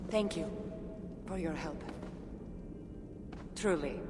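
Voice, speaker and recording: An actress playing a guarded, solitary ancient warrior woman in a video game, with a Greek accent and a measured delivery. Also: sits low, in a large hall, over music. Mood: wry, wistful. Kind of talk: cinematic video game dialogue. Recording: clear background ambient noise.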